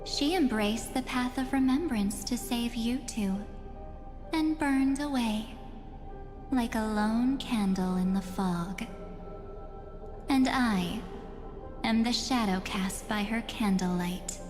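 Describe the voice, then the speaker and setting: Slow, enigmatic, gentle spirit girl. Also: on the high side. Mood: solemn, wistful. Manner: soft, coy, unhurried, with breathy trailing ends. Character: enigmatic, gentle, teasing shadowy young woman of memory. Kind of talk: dramatic video game dialogue. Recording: reverberant hall sound under music.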